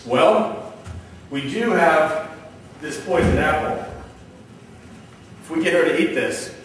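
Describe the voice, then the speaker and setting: A man's voice: fairly high pitch, slow pace. A man acting a scheming, menacing evil stepfather king in an amateur stage musical. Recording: echoey hall from far, faint noise.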